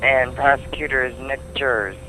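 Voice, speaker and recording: A man on a phone call, answering in a plain, deliberate, unruffled manner. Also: medium pitch, fairly even intonation, bright, forceful tone, a little hiss or hum. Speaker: call taker, prank call target. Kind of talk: phone conversation.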